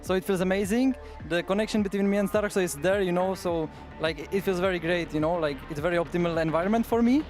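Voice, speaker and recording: A young adult man, a pro player, answering interview questions in a relaxed way with laughs and many "you know"s. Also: high voice, quick pace, few pauses, faint music behind. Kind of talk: post-match interview.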